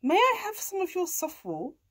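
A woman's voice: very high-pitched, huge pitch swings, moderate pace, fairly bright tone, voice pushed up, full character voice. A woman voicing a friendly female farm cat in a storybook, politely coaxing and coy, with a sing-song manner. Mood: bright, coaxing.

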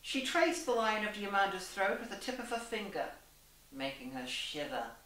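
A young woman giving a deliberate, theatrical dramatised reading of fan fiction, with emphatic character dialogue. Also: medium pitch, expressive, a bit bright. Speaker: reader and host in costume. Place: small plain room indoors. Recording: roomy echo, distant camera microphone.

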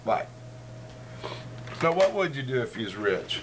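A man's voice: medium pitch, very animated, a little slow. A middle-aged man hosts a loose, meandering chat at home, joking and drifting between asides. He sounds somewhat distant from a camera mic across the room. A faint wash of room noise sits underneath. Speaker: show host. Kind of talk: rambling casual chat. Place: cluttered living room, indoors. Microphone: fixed camera across the room.

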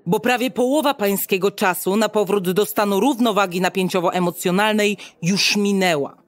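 An actress playing a brisk, officious receptionist at a futuristic state clinic, speaking in formal, bureaucratic sales patter in an audio drama. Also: female voice, medium pitch, dry recording, close to an everyday voice. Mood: puzzled, amused. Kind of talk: Polish audio drama acting.

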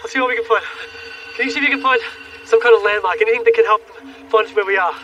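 Brief: a high, bright-voiced crash survivor, strained and urgent, distant mic, music under. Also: male voice, rapid-fire, highs cut off, a little hiss or hum. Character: injured male crash survivor phoning rescuers. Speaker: reenactment actor.

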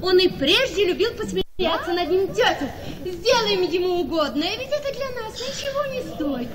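An actress playing a happy, naive, adoring young wife in a period radio comedy, gushing and exclamatory. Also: female voice, extremely high pitch, big pitch swings, moderate pace. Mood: confiding. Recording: reverberant hall with crowd sound.

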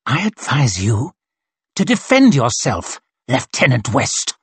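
A man's voice: medium pitch, sing-song, moderate pace, husky, no echo, voice pushed up. A man voicing an arrogant, hot-tempered military colonel with a haughty, menacing manner in an audiobook. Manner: haughty, hissing and snarling when provoked.

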